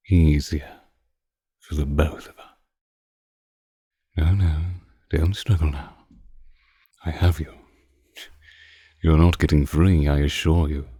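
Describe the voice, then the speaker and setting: A man playing an ancient, suave vampire lord in a scripted roleplay drama, speaking with deliberate, condescending menace and archaic phrasing. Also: extremely low pitch, mellow, no room sound, studio quality, heavily acted. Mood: mocking, predatory. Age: older. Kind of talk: scripted roleplay audio drama, intimate close-mic monologue.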